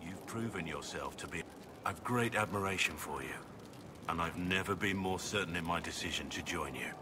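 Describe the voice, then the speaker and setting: An actor voicing a brooding, guilt-ridden middle-aged warrior in a video game, speaking gravely and formally with halting earnestness. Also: male voice, deep voice, noisy background, lightly acted. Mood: grateful, earnest. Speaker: video game companion character. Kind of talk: dramatic video game dialogue.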